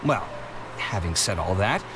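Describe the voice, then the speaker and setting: An actor playing an exasperated, sociable young man in a video game, expressive and snippy in his bantering. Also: deep voice, unhurried, noisy background. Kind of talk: English video game voice acting.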